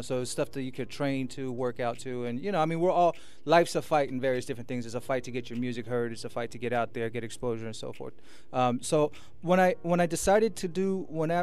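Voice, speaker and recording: A man's voice: medium pitch, darkish tone, clear, resonant tone. An American man from Sacramento talking casually and earnestly as a radio interview guest, rambling and often checking "you know what I mean". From Sacramento, California, USA. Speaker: guest musician and promoter. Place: radio station studio.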